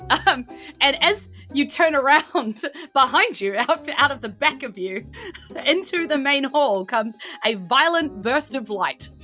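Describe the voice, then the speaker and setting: A woman with a New Zealand accent, narrating a tabletop game casually as game master, piecing it together in loose, halting phrases. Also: high voice, animated, bright, forceful tone, faint music behind. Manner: hesitant phrasing peppered with "like".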